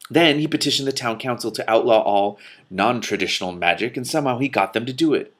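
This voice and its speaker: A man's voice: mid-range voice, lively intonation, fast talker, a bit bright, pitched above the performer's own voice. A man voicing a hot-tempered male fire witch in a storybook, vehement, emphatic and aggrieved. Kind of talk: storybook read aloud.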